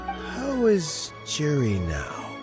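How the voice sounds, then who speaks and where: Kindly tired old statesman, slow and formal but very expressive, game dialogue w music. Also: a little high, exaggerated voice. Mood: concerned. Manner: measured, formal, reflective. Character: kindly, weary older male statesman, courteous and reflective. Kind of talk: anime game voice acting.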